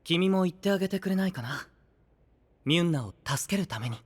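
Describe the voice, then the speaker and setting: Gentle, princely young knight leader. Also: male voice, high voice, expressive, brisk, breathy edge. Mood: gentle, composed. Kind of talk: Japanese anime-style voice drama. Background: background music.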